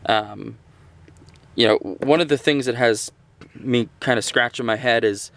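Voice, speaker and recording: A man reviewing a gadget in a casual, unscripted, conversational and somewhat halting way. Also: medium pitch, vocal fry, fairly bright tone, dry recording. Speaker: gadget reviewer, host of a radio channel.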